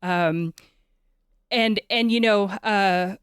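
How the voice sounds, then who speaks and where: A woman's voice: mid-range voice, drawn-out words, clear, resonant tone, dry recording, clean studio sound. A Canadian woman hosting a conversational interview, informal and often searching for her words.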